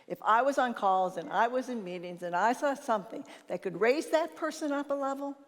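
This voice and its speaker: An older woman with a naturally loud voice gives an informal conference talk, telling anecdotes and acting out bits of conversation. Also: on the high side, expressive, a little fast. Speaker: conference speaker and leadership consultant. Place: large reverberant conference hall. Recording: reverberant hall sound.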